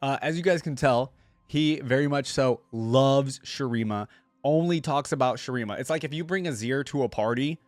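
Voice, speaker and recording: A man's voice: mid-range voice, moderate pace, no room sound, faint music behind. Chatty man giving casual commentary, dry close sound. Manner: chatty, rambling, informal. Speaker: host of a game lore reaction channel. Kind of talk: casual reaction commentary to camera.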